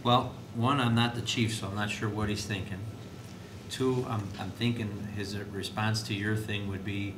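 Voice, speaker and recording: A man speaking as an administrator at a school board meeting, explaining in a halting way with restarts. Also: level tone. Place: indoor board meeting room. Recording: noisy, echoey meeting room with low rumble.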